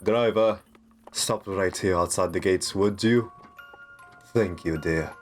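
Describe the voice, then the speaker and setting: A man playing a courteous, possessive vampire master in an ASMR roleplay, soft-spoken, soothing and reassuring with genteel endearments. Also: deep voice, takes it slowly. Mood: calm, attentive. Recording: music under the voice. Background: background music.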